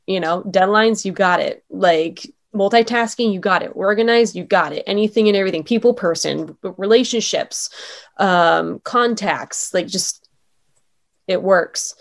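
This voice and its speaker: A woman's voice: mid-range voice, a little slow, gravelly. A young adult woman, a former sports anchor, talking casually as an interview guest in an upbeat, chatty way with lots of "um" and "like".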